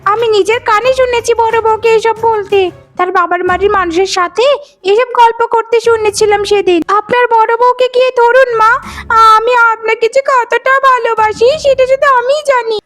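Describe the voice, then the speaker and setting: A woman's voice: very high-pitched, steady tone, moderate pace, fairly bright tone. A woman voicing a greedy, falsely sweet young daughter-in-law, insinuating and exaggerated, in a cartoon melodrama. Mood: accusing, sugary. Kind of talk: melodramatic cartoon moral-story voice acting.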